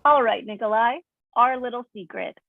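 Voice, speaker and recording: A woman playing a polite, measured female assistant in a staged play reading, precise and formal. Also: on the high side, vocal fry, dry recording. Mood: reassuring. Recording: very dull, muffled, dry sound.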